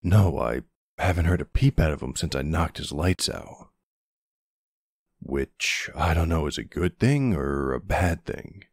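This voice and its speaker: Man playing a very deep, gravelly older boyfriend. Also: expressive, unhurried, dry recording, clean studio sound, exaggerated voice. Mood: tender, reassuring. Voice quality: husky, gravelly. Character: protective, gruff, tender older boyfriend. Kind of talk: ASMR boyfriend audio roleplay.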